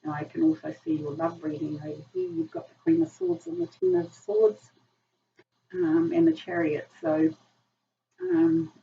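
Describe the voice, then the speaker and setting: Croaky older woman reading tarot cards. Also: sits high, big pitch swings, moderate pace. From New Zealand. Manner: rambling, many ums and "sort of type thing". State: croaky from a virus. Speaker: tarot reader. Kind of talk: casual tarot reading to camera. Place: small room, indoors. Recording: dull distant camera mic with faint hiss.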